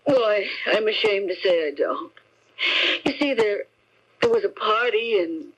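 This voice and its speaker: A woman's voice: mid-range voice, lively intonation, a little slow, husky, low-quality recording. A woman playing an anxious, fragile wife and mother in a 1970s radio mystery, speaking hesitantly and fretfully. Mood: ashamed, evasive. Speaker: radio drama actress.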